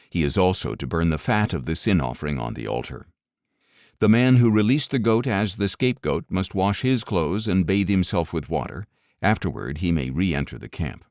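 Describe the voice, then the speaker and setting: A man narrating scripture as a scripted audio Bible reading, in a measured, formal manner. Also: low-pitched, a little mellow, dry recording, clean studio sound.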